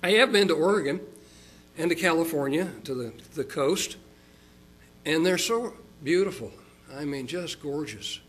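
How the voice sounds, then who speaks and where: An older man from Oklahoma preaching a sermon as a pastor, folksy and chatty, slowing down for emphasis. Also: expressive, a bit bright, husky. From Oklahoma, United States. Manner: folksy and chatty, with chuckles and fillers; slows and rises in pitch for emphasis.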